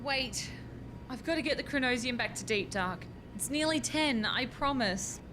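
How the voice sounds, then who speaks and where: An actress plays a woman researcher in a radio farce, earnest and harried. Her fairly high voice gives instructions in a bossy, insistent way. Noise and rumble sit clearly on the home recording. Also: lively intonation, moderate pace. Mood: anxious, careful. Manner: insistent, explanatory. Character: earnest, bossy, harried female doctoral time-travel researcher.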